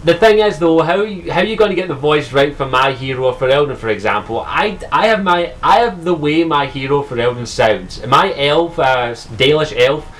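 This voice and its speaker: A man's voice: on the high side, expressive, moderate pace, few pauses, fairly bright tone. A young man from Scotland with a Scottish accent, talking casually and profanely about a game, full of ums and restarts. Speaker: gaming vlogger. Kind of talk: casual solo commentary.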